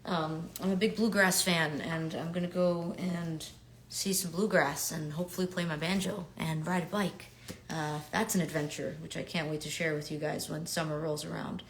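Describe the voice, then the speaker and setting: A woman from New York in her thirties, chatting casually and upbeat with fans during a livestreamed autograph signing, with lots of fillers and quiet murmurs while she writes. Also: deep voice, steady tone, moderate pace, slight background noise.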